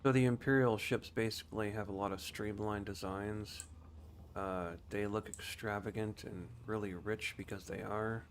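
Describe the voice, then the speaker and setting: A low-pitched man commentates on his game in a casual, rambling style. He explains things without hurry, as a streamer would, with faint noise in the background. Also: fairly even intonation, normal speed.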